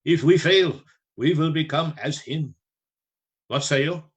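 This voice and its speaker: A man playing a learned, commanding vampire hunter in a radio play, with formal, deliberate oratory. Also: a little high, natural voice. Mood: grave, commanding.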